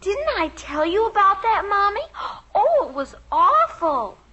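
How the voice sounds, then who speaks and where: A woman's voice: extremely high pitch, sing-song, unhurried, sharp tone, lo-fi sound, heavily acted. A woman acting a plaintive, earnest little American girl who fibs, in a 1950s radio sitcom. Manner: plaintive, childlike. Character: little girl, earnest, evasive fibbing daughter. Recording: old radio recording, faint noise.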